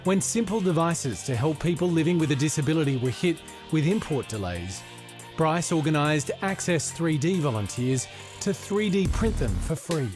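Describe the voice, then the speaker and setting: Man, voice-over announcer, polished even read, slightly higher pitch, music bed underneath. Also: normal speed. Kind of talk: scripted nominee introductions.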